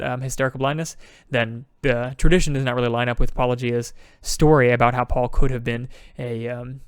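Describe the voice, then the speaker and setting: A man co-hosting a podcast, talking quickly in a casual discussion and thinking aloud with frequent restarts. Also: medium pitch, smooth, no echo. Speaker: podcast co-host.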